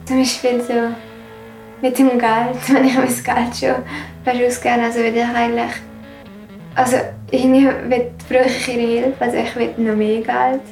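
A woman's voice: a little high, level tone, normal speed, gravelly, a little mellow, reverberant, far from the mic, faint music behind. A teenage girl with a Swiss German accent talks casually and reflectively in an interview, searching for words.

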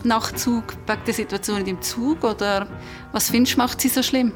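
A woman's voice: on the high side, steady tone, a little fast, music in the background. A woman with a Swiss German accent co-hosting a podcast in casual discussion. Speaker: podcast co-host.

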